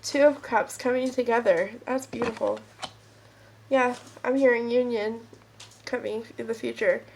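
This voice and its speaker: A woman's voice: fairly high pitch, fairly even intonation, measured pace, gravelly, darkish tone, slight background noise, rough recording. A woman with a stuffed-up nose giving a casual, rambling pick-a-card tarot reading.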